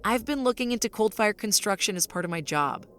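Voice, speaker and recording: A woman's voice: medium pitch, lively intonation, a little fast, fairly bright tone, natural voice. A woman playing an anxious, determined woman investigating a conspiracy, in an audio drama. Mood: probing.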